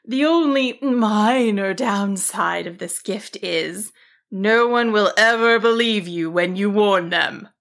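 A woman playing a mean-spirited, sly old male wizard in a storybook, with a drawn-out, menacing delivery. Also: mid-range voice, creaky, a bit bright. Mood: mock sweet, gloating.

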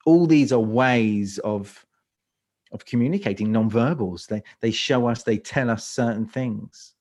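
A man's voice: medium pitch, unhurried, a little mellow. A man with a London accent, a speaker coach answering interview questions, often restarting and repeating words as he thinks. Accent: London English. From England.

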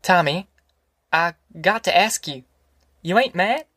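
Man voicing an anxious Southern farm mother, pitched up. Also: animated, slow pace, pushed and bright, no echo, clean studio sound, heavily acted. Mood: timid, worried. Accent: rural Southern American. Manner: hesitant, timid, earnest. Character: anxious, tender middle-aged farm mother. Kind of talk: audiobook character acting.

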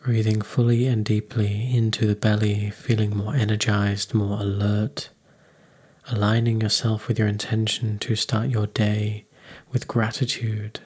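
A man leading a guided meditation in a calm, unhurried, soothing manner. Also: on the low side, slightly rough.

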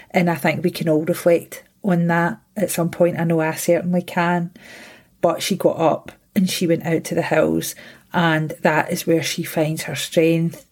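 A woman, hosting a podcast interview, warm and gushing as she introduces and encourages her guest. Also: deep voice. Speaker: podcast host.

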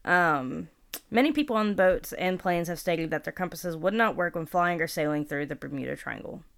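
A woman's voice: lowish voice, animated, normal speed, vocal fry, a bit bright. A woman with a Southern US accent, co-hosting a casual podcast and reading from her notes with fillers and restarts. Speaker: podcast co-host. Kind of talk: casual chat.

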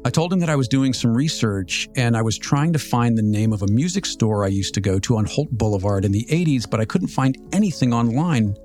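A man in his fifties with an American accent, telling a scripted personal story as a podcast host in a measured, reflective, articulate way. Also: medium pitch, animated, darkish tone. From Southern California, United States. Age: 50s. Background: music.

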